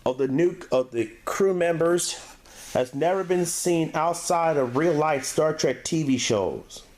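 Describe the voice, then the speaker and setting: A man giving a casual, unscripted review as host, speaking haltingly and stumbling over some of his words. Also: a little high, a little mellow. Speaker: DVD reviewer, show host.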